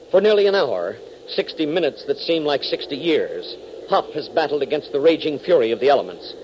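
A man's voice: mid-range voice, a little mellow, slightly rough, poor audio. A man announcing and narrating a 1940s radio adventure, emphatic and addressing young listeners directly.

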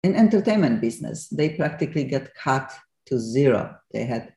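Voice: medium pitch, very animated, normal speed, a little mellow. Rambling talk radio host, echoey room. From Poland. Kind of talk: interview host.

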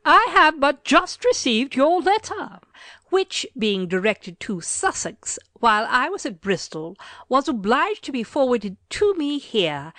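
Animated, higher-pitched woman reading dramatically. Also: no echo. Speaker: audiobook reader. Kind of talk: dramatic reading of a period literary letter.

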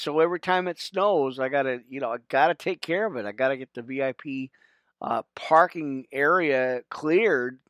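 A man's voice: fairly high pitch, a bit bright, smooth, no room sound. A middle-aged man hosting a faith podcast, chatting casually with lots of fillers and little laughs, fighting a cold and cough. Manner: rambling, many fillers, self-deprecating laughs. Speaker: podcast host. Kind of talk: rambling casual devotional chat.

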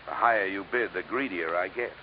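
A man's voice: low-pitched, brisk, natural voice. An actor playing a wry, hard-boiled male investigator, sardonic and dry, in a vintage radio detective drama. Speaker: lead and narrator. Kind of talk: 1950s radio detective acting and narration. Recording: dull, faintly noisy vintage radio recording.

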